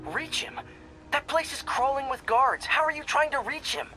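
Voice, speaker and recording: A man's voice: very high-pitched, expressive, moderate pace, over music, rough recording. An actor playing an earnest young superhero in his twenties, talking in a boyish, conversational way with anxious wisecracks in a video game. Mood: worried. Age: 20s.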